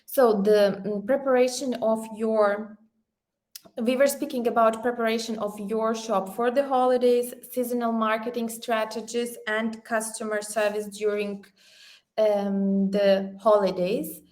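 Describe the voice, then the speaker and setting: Slow, hesitant woman from Ukraine. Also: a little high, flat delivery, a little mellow. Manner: slow, hesitant, many fillers and restarts. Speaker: workshop presenter, online seller. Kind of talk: online workshop presentation.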